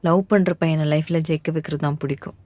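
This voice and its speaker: A woman's voice: sits low, fairly even intonation, quick pace, heavy background noise, lightly acted. A woman acting a sincere young woman in film dialogue.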